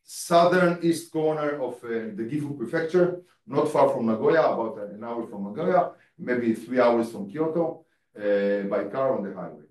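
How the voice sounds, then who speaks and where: A man's voice: mid-range voice, expressive, normal speed, a little mellow. A man whose first language is Hebrew gives a public lecture in English, hesitant, with many fillers and restarts. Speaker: guest lecturer. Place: lecture hall. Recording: echoey hall from far off.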